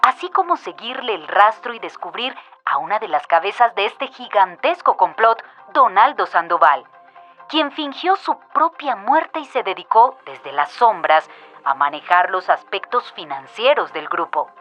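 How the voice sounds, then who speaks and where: A woman's voice: mid-range voice, lively intonation, a little slow, a bit bright, highs cut off. A woman playing a radio news announcer, reading a formal, emphatic bulletin in an audio drama. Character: female radio news announcer reporting a military conspiracy. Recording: band-limited radio sound with music behind.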